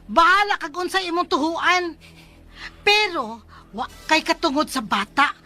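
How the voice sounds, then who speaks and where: High, bright Cebuano woman, blunt partner. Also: sing-song, measured pace, soft background music, heavily acted. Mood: cold, defiant. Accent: Cebuano Filipino. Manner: brusque, dismissive. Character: young mother, blunt, dismissive partner. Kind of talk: radio drama acting.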